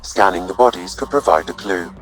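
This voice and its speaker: A man voicing a calm, measured, analytical artificial intelligence assistant in a video game. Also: deep voice, faint music behind, lightly acted, poor audio. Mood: clinical, calm.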